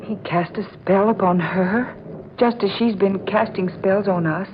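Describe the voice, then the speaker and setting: A woman playing an anxious young niece in an old-time radio drama, speaking in a halting, dramatic way. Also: medium pitch, close to an everyday voice. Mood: shocked, uneasy. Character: anxious young woman learning of her aunt's sorcery. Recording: dull, noisy old radio recording.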